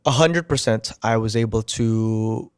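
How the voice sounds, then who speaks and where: A man's voice: on the low side, unhurried, clear, resonant tone, no room sound. Man, native Indonesian speaker, chatty actor guest, acts out quotes, dry close mic. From United States. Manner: animated storyteller, acts out quoted remarks, many "like" fillers. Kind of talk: casual interview chat.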